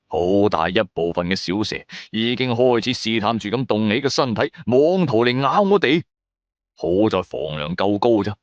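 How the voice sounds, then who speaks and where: A man's voice: medium pitch, no room sound, lo-fi sound. A man with a Cantonese accent narrating a suspenseful adventure story in the first person, with theatrical, emphatic delivery. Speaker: audiobook narrator.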